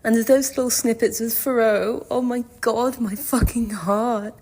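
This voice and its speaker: A woman's voice: a little high, steady tone, unhurried, a little mellow. A woman leaving a casual fan voice message, gushing and swearing freely. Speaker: listener sending a voice message.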